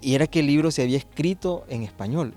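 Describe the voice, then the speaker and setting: A man's voice: a little high, fast talker, mellow, faint music behind. A man presenting and asking questions as an interviewer in a book conversation.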